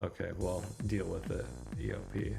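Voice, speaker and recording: A man's voice: low voice, sing-song, moderate pace, gravelly, over loud music. A man streaming a visual novel, chatting casually and muttering mildly exasperated asides. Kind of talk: casual livestream chat.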